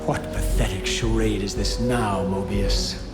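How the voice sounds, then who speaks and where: An actor playing a proud, sardonic undead wraith in a video game, speaking in a formal, theatrical and biting manner. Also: male voice, fairly low pitch, fairly even intonation, unhurried, natural voice. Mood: defiant, indignant. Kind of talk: dramatic video game voice acting and narration. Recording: echoey, with music behind.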